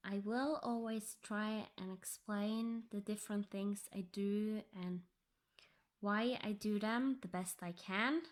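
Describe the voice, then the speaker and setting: Slow, soft-spoken Norwegian woman. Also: medium pitch, steady tone. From Norway. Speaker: cross-stitch vlogger. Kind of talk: casual tutorial chat.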